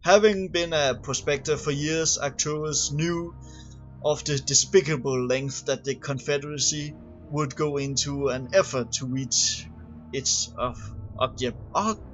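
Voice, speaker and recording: A man reading a scripted narration aloud in a halting way, often stumbling over and repeating words. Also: a little high, a bit bright. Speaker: amateur reader of game lore. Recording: dull lo-fi home recording, music behind. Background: background music.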